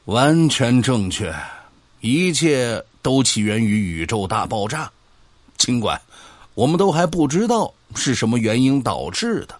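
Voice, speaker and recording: A man acting an argumentative know-it-all in a sci-fi radio play, recorded close and dry. His pitch moves in a lively way as he needles and lectures his drinking buddy. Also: no room sound. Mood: emphatic, lecturing. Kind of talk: Chinese sci-fi audio drama dialogue.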